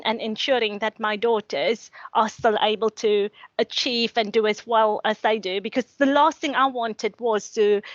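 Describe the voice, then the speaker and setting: A woman's voice: on the high side, a bit bright, no room sound, muffled recording. A middle-aged woman with a South African accent, speaking earnestly and reflectively as a panelist, often restarting her sentences. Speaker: panelist, lecturer. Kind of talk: panel discussion on video call.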